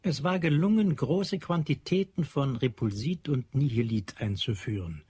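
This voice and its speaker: Male narrator, solemn literary delivery, raspy edge, close dry recording, music. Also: mid-range voice, moderate pace, darkish tone, no room sound. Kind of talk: literary narration in radio play.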